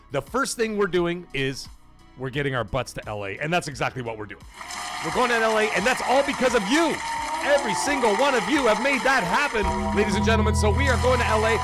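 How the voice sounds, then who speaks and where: A man hosting a casual livestream, loudly thanking chat supporters between serious talk. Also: a little high. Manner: hyped, shouts out chat supporters. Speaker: livestream host.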